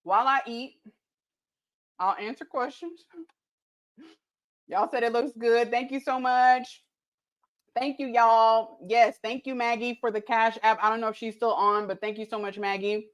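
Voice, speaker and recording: A woman's voice: a little high, steady tone, measured pace, pauses often. A woman, chatting casually on her cooking livestream, reading viewer comments aloud and laughing easily, a little tipsy. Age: late 30s. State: tipsy from mimosas.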